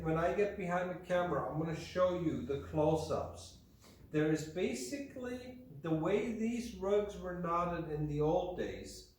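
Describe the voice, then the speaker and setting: A man speaks from well back in a very reverberant room. His voice sits a little high, and he presents in an unscripted, deliberate manner, pausing and repeating himself as he goes. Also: a little mellow. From Germany. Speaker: video host and Persian rug specialist. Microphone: camera mic from far away. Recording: very echoey room, distant microphone.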